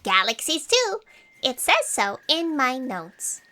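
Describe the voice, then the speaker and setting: An actress playing an eager, scatterbrained fact-checker in an audio drama, excitable and emphatic. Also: female voice, high voice, slow, exaggerated voice. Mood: eager, flustered.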